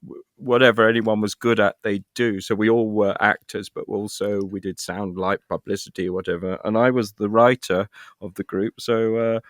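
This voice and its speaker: British man, low voice, rambling thoughtfully. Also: steady tone, normal speed, dry recording, clean studio sound. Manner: self-deprecating, rambling, thinking aloud. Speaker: guest, actor and writer. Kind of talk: casual interview chat.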